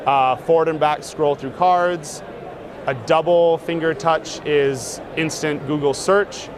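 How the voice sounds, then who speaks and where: Relaxed man demoing a gadget outdoors. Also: a little high, takes it slowly. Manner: relaxed, explains with fillers. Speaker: interviewee demonstrating a gadget. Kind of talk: casual demo talk. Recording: noisy outdoor street sound.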